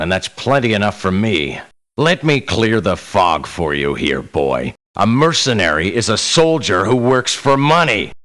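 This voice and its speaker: An actor voicing a gruff, menacing veteran mercenary in a video game, with a gravelly voice and a blunt, folksy, condescending manner. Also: male voice, lowish voice, sharp tone, full character voice. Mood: stern, measured. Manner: blunt, folksy, talks down to the listener. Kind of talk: video game character voice lines.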